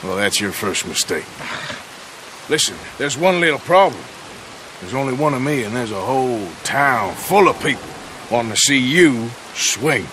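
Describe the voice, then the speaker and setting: An actor playing a gruff, sardonic Wild West outlaw in a video game, with an American Western drawl and a dry, menacing manner. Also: male voice, mid-range voice, animated, normal speed, bright, forceful tone, a little hiss or hum, poor audio. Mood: mocking. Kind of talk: video game cutscene acting.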